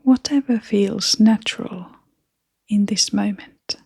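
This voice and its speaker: A woman leading a guided meditation in a gentle, breathy, whispery and creaky voice, speaking in short phrases with long, unhurried pauses. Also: mid-range voice, no echo, clean studio sound.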